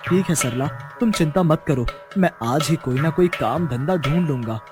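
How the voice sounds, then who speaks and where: A man plays a foolish, eager village husband in a cartoon tale. His voice is high for a man, earnest and simple-minded, with music playing behind. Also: expressive, normal speed, lightly acted. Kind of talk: Hindi cartoon moral story acting.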